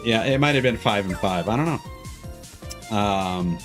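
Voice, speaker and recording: A man's voice: fairly low pitch, normal speed, a bit bright. A man chatting casually as he streams a game, rambling and self-deprecating, with some swearing. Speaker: live streamer. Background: cartoonish upbeat game music.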